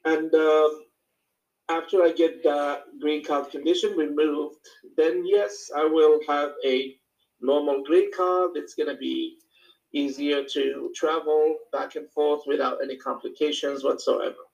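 A man's voice: fairly high pitch, steady tone, a little slow, gravelly, a little mellow, highs cut off. A man from Morocco with a Moroccan accent, speaking reflectively and hesitantly as he explains his personal experience in an informal talk to a small group.

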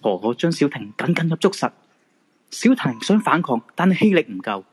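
Husky, animated male drama narrator. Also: fairly high pitch, brisk. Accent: Hong Kong Cantonese. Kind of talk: scripted radio drama narration.

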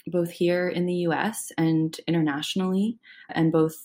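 A woman founder speaking as a podcast guest, chatty and excited about her business plans, laughing easily. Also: fairly low pitch, moderate pace. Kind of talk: casual interview chat.

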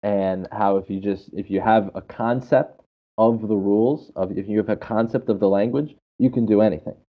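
Low-voiced man explaining casually, muffled sound. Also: fairly even intonation, moderate pace. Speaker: English teacher. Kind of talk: casual conversational explanation with anecdotes.

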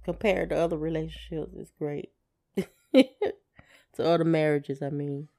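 A woman's voice: low-pitched, fairly even intonation, unhurried, creaky, warm tone. A woman chatting in a laid-back, plain way as a podcast co-host. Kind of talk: casual chat with spouse.

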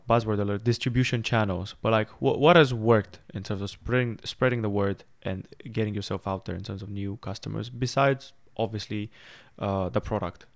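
A man's voice: fairly low pitch, no echo. A man hosting an interview who asks long, rambling questions full of fillers and side remarks. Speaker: podcast host. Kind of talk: conversational interview.

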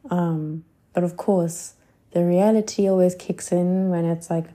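A woman in her twenties hosting a podcast, musing casually in a rambling way with many fillers and soft whispered asides. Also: lowish voice, fairly even intonation, measured pace. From South Africa. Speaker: podcast host. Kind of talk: casual reflective monologue.

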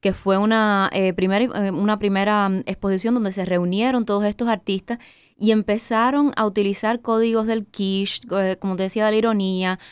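Woman explaining art, very clear voice. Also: medium pitch, steady tone, no room sound. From Cuba. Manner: measured, thinks aloud with many "eh" fillers and tag "¿no?". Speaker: guest, art historian and curator. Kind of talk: interview explanation. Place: university radio studio.

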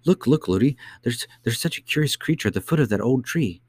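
A man's voice: medium pitch, expressive, a little fast, darkish tone, no room sound, pitched above the performer's own voice. A man voicing a chatty, innocent, insistent little princess in a storybook. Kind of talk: storybook character voice.

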